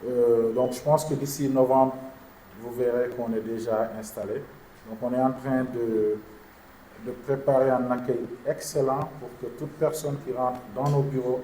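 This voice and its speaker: A man's voice: mid-range voice, dark tone. Exec guy answering press questions point by point, echoey room with a bit of noise. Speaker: insurance company executive.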